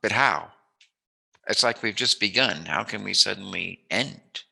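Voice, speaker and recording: A man's voice: on the low side, a little slow, gravelly, bright, forceful tone, husky. A man hosting an interview in a casual, joking way, with a playful, rambling, musing manner. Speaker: interview host.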